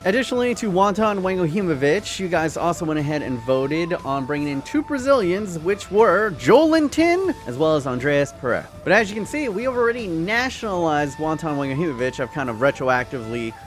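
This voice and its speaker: Excitable jokey male gaming host. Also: a little high, brisk, hardly any pauses, faint music behind. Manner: excitable, jokey, self-mocking. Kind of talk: casual gaming commentary.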